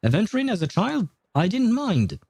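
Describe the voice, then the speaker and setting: A man's voice: high-pitched, wildly swooping pitch, normal speed, gravelly, darkish tone, slightly rough, no room sound, clean studio sound. A man livestreaming a game in casual chat, rambling and hesitant as he thinks aloud. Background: game music.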